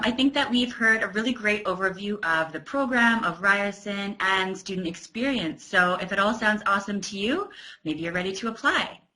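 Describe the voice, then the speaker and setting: A woman's voice: medium pitch, bright, forceful tone, poor audio. A woman hosting an informational webcast, upbeat and enthusiastic. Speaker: webcast host.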